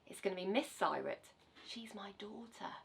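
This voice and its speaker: A woman's voice: mid-range voice, lively intonation, normal speed, vocal fry, a bit bright, a little hiss or hum. A woman informally introducing a story reader to an audience of children.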